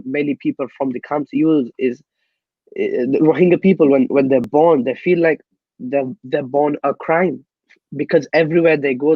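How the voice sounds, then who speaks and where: Young male guest from Myanmar, earnest and halting, somewhat high voice, dull and dry sound. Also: no echo. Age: young adult. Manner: earnest, halting, many restarts. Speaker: guest activist. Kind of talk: interview answers.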